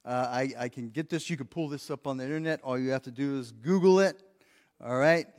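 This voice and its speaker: A man's voice: medium pitch, fairly quick, a little mellow. A man preaching a practical sermon to his congregation, earnest, often repeating words as he thinks and asking the room questions. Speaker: pastor. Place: church sanctuary. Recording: long church hall reverb.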